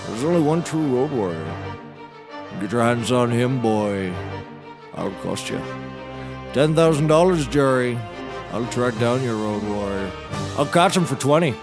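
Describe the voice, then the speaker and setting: A man's voice: mid-range voice, close to an everyday voice. An actor playing a blustering, folksy nautical handyman turned defense lawyer, orating grandly in a comedy courtroom. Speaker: defense attorney. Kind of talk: scripted comedy courtroom oratory.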